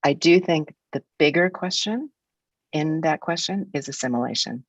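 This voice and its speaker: A woman's voice: sits low, expressive, moderate pace, no room sound. A woman in her sixties from the United States, a guest speaker in an academic webinar, talking in a frank, reflective way with some restarts.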